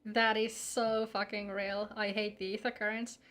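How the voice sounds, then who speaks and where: A woman's voice: mid-range voice, level tone, moderate pace, fairly bright tone. A woman live-streaming a game, chatting casually and teasingly with viewers in sweary, informal talk.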